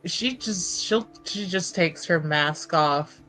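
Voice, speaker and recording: A woman casually improvising her character's actions as a tabletop player, informal and halting. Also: fairly low pitch, bright, forceful tone, soft background music, poor audio.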